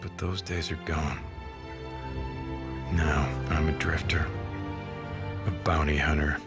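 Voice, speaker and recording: An actor playing a brooding, world-weary drifter and bounty hunter in a game trailer, delivering a grave, sombre monologue. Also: male voice, extremely low pitch, flat delivery, takes it slowly. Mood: brooding, resigned. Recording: loud background music over the voice. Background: loud music.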